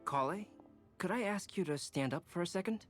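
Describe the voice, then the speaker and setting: A calm, measured male scholar. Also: high-pitched, soft background music. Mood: calm, assured. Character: composed analytical young male scholar. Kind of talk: scripted video game acting.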